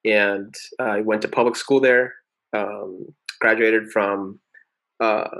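A man in his twenties from Venezuela, a medical student chatting as a podcast guest, relaxed and full of fillers. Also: fairly low pitch, takes it slowly, gravelly, low-quality recording. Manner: relaxed, many fillers and restarts. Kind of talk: casual interview chat.

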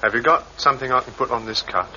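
A man's voice: medium pitch, sharp tone, a little hiss or hum. An actor playing an awkward, intense young man with a dangerous streak in an old radio crime drama, on a muffled recording. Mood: shy, halting. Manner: halting, intense.

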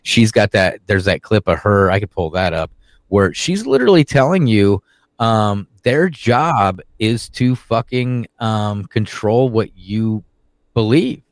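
Male co-host, low voice, dry close sound, profane and rambling. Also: animated, measured pace, no echo. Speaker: podcast co-host. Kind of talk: casual conspiracy talk chat.

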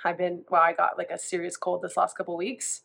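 A woman's voice: fairly low pitch, monotone, fairly quick, creaky, fairly bright tone. A woman in her thirties, a food coach, chatting casually in a vlog, a bit congested from a cold and rambling with restarts.

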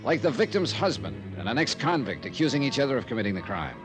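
A man performs a hard-boiled American investigator from a 1950s radio drama. His delivery is wry and clipped, with pitch that moves widely. Music plays clearly behind him. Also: big pitch swings, quick pace, lightly acted. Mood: dry, urgent. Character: wry, tough American freelance insurance investigator. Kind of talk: 1950s radio detective acting and narration.

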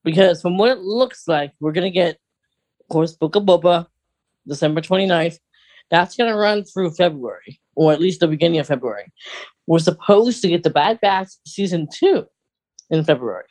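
Podcast cohost, medium pitch voice, casual fan chat, kinda hesitant and thinking out loud. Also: expressive, moderate pace. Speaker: podcast co-host.

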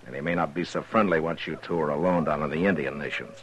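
An actor playing a stern, steady American frontier lawman in a 1950s radio western, with a husky voice. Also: extremely low pitch, fast talker, noisy background. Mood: stern warning.

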